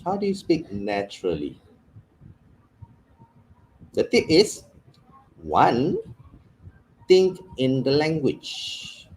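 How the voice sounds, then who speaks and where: A man's voice: mid-range voice, huge pitch swings, drawn-out words, pauses often, a little mellow, low-quality recording. A man with a Malaysian English accent giving a communication workshop, often checking in with "okay" and dropping in Malay phrases. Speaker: communication trainer. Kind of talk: workshop lecture.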